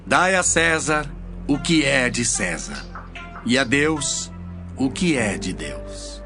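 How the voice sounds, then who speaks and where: Authoritative male teacher of scripture, played by a man, solemn delivery with wide, lively inflection, soft music beneath. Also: medium pitch, slow, exaggerated voice. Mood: firm, challenging. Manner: solemn, emphatic, declamatory. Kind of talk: dramatized scripture audio reading.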